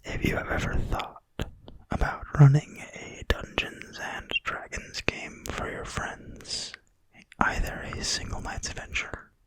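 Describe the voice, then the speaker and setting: An ASMR host reading a game manual aloud in a quiet, breathy, unvoiced whisper with deliberate phrasing. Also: dry recording, rough recording. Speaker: ASMR video host and reader.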